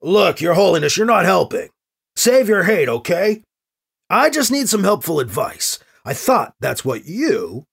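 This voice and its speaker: A man's voice: on the high side, big pitch swings, normal speed, gravelly, slightly rough, exaggerated voice. A man voicing a flustered, inexperienced colonial governor in an audio drama, hesitant and worried. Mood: exasperated.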